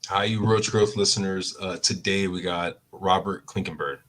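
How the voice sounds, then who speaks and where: A man with a low, deep voice hosting a casual business podcast. He asks his questions in a loose, rambling way and laughs easily between them. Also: steady tone, moderate pace, fairly bright tone, slight background noise. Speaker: podcast host.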